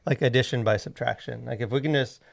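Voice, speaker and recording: A man in a casual discussion as a podcast co-host, talking in a rambling, halting way full of filler phrases. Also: medium pitch, creaky. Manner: rambling, halting, packed with "like" and "you know". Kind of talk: casual discussion of faith and culture.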